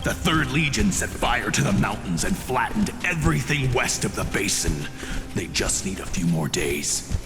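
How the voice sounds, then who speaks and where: An actor playing a loyal, earnest soldier in a video game, with a formal, dutiful military delivery. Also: male voice, fairly low pitch, animated, normal speed. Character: loyal, earnest soldier reporting to his empress. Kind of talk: dramatic video game voice acting. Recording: long reverb with music behind.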